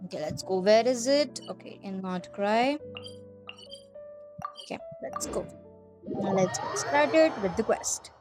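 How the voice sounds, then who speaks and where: A woman's voice: medium pitch, expressive, measured pace, long pauses. A woman hosting a gaming video, talking informally and directly to viewers in casual commentary. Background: faint game music.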